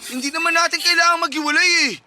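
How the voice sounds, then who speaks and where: A man's voice: very high-pitched, fairly even intonation, racing, bright, forceful tone, full character voice. A man playing a manipulative, womanizing young boyfriend in a melodramatic radio drama, whining, defensive and accusatory. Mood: pleading.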